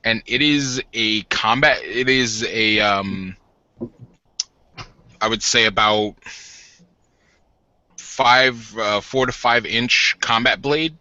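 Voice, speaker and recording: Male tabletop player, casual improvised narration. Very bright, forceful tone, close and dry but lo-fi. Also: on the low side, takes it slowly, pauses often, no echo. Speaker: role-playing game player. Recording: dull lo-fi audio.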